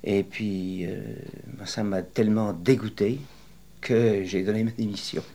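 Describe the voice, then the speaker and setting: Deep-voiced man in his sixties reminiscing. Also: steady tone, mellow, a little hiss or hum. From western France. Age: 60s. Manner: rambling, hesitant storytelling with many pauses. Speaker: retired interviewee. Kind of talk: oral history interview.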